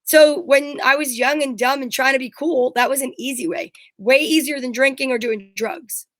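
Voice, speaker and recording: A woman's voice: high-pitched, normal speed, fairly bright tone, dry recording, clean studio sound. A middle-aged woman co-hosting a talk show, chatting casually in a blunt, candid, rambling storytelling way. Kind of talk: casual chat.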